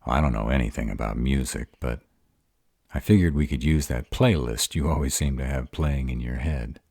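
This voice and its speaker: A man voicing a bashful, awkward male were-dragon in love, halting and earnest, in a romantic roleplay audio. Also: extremely low pitch, vocal fry, darkish tone, husky, dry recording, clean studio sound, heavily acted. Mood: bashful, nervous.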